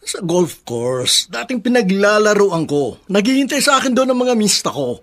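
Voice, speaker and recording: A man's voice: high-pitched, sing-song, a bit bright, full character voice. A man with a Filipino accent playing a strict, proud retired army colonel in a radio drama, speaking emphatically. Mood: proud, authoritative. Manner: emphatic, deliberate, repeats words.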